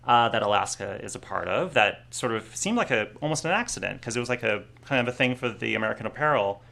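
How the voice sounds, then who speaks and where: A man's voice: mid-range voice, expressive, fast talker, vocal fry, bright, forceful tone. A man hosting a live studio interview, asking loose, chatty questions and teasing his guest. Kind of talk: casual interview chat.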